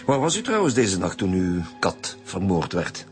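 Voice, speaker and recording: An actor playing a blunt, suspicious Flemish chief inspector in a radio crime drama, probing and sardonic. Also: male voice, sits low, very animated, normal speed, a little mellow. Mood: sardonic, pressing. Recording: music behind the voice.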